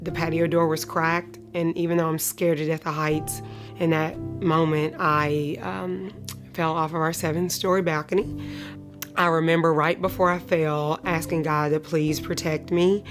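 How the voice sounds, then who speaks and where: A woman in her mid-thirties tells her personal story in a low voice. She speaks in measured phrases with pauses, and instrumental music plays clearly underneath. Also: level tone. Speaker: client of a shelter charity telling her story. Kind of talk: personal testimony in an interview. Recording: music playing behind the voice.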